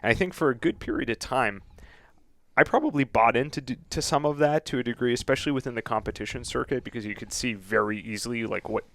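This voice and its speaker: A man from Boston, a guest on a podcast, speaking earnestly and in a reflective, measured way. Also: mid-range voice, dry recording. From Boston, North Shore. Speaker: guest, YouTube channel co-host. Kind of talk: casual podcast chat. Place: dark studio set with leather chairs.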